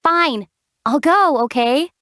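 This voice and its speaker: A woman voicing a calm young female traveler hero who teases in a deadpan way, in studio game voice acting. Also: sits high, takes it slowly, background noise. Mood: dry. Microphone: studio mic.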